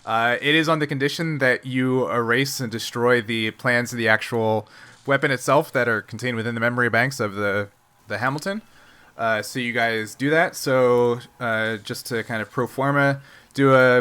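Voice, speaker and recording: A man with a bright, crisp-edged voice of middling pitch runs a tabletop role-playing game. He explains rules and narrates as game master, stopping to check that he is understood. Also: moderate pace.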